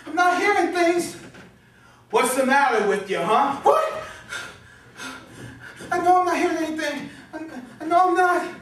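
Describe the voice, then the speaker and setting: Panicked insecure college guy, played by a stage performer: high voice, whiny stammer, theatrical, recorded from a distance with heavy echo. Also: a little slow, pauses often, fairly bright tone. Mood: nervous, defensive. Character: panicked, insecure young male college student Oscar. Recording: distant, echoey room, faint noise.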